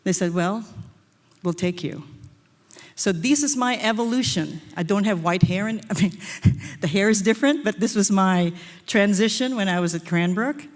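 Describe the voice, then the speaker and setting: A woman's voice: medium pitch, normal speed. A woman, giving a short stage lecture as a design professor and telling personal stories. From Philippines. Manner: storytelling, personal anecdotes. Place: auditorium stage. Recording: reverberant hall.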